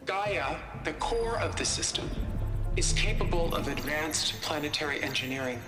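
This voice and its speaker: A performer playing a cool corporate briefing narrator in a video game, clipped, official and measured, in an echoey playback. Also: medium pitch, close to an everyday voice. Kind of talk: formal scripted briefing narration. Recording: echoey playback, music underneath.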